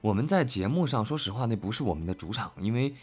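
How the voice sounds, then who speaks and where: A man's voice: medium pitch, animated, fast talker, darkish tone, husky. A man speaking as a voice actor in an informal, unscripted interview, thinking aloud and often repeating phrases. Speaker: voice actor, reading questions and answering. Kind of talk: casual, unscripted interview chat.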